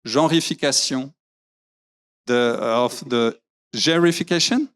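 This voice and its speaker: A man gives a talk in English, pausing often to hunt for words and starting his sentences over. His voice is smooth and clear at a middle pitch, steady and unforced. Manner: hesitant, searching for English words, many fillers and restarts. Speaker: graphic novelist giving a talk. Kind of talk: public talk with slides. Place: lecture venue.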